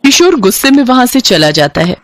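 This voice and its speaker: A woman's voice: on the high side, very animated, brisk, dry recording, clean studio sound. A woman narrating a scripted children's moral story.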